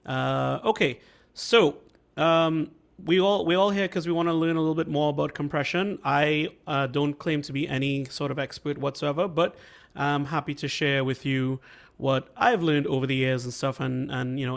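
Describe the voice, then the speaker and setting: A man with a voice worn from a flu, hosting an online workshop and teaching mixing in a casual, halting way that keeps checking in with listeners over a video call. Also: on the high side, vocal fry. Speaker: workshop host and mixing instructor. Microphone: video call microphone.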